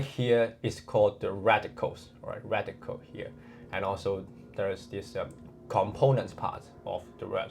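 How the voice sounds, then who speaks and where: A man from Hong Kong with a Hong Kong accent, giving a relaxed, chatty online lesson with many fillers. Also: lowish voice, vocal fry, a little hiss or hum. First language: Cantonese. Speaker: language tutor.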